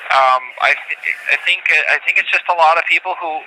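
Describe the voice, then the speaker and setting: A man talking earnestly and haltingly from prison over a noisy phone line, repeating words as he makes his case. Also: on the high side, sharp tone. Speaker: prisoner being interviewed by phone. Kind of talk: earnest, unscripted phone interview talk. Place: prison, on a telephone call. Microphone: telephone.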